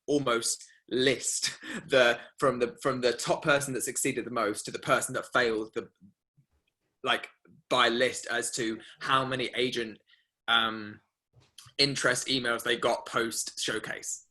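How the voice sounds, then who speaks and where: A young man hosting a class, telling a rambling story full of fillers and lively quoted asides. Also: mid-range voice, measured pace. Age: young adult. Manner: rambling, filler-heavy, animated retelling. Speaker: masterclass host. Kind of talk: casual storytelling to an online class.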